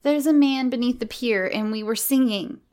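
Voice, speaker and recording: A woman's voice: a little high, moderate pace, a little mellow, pitched above the performer's own voice, lightly acted. A woman voicing a small, earnest little girl who is innocent and insistent, in a story reading.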